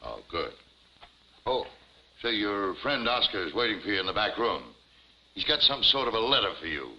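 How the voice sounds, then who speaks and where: A middle-aged small-town boss in an old TV comedy. His voice is lowish yet very bright and forceful, over a dull vintage soundtrack. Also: very animated, moderate pace, heavily acted. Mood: brisk. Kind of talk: 1950s television comedy acting. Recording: dull vintage 1950s TV soundtrack, faint noise.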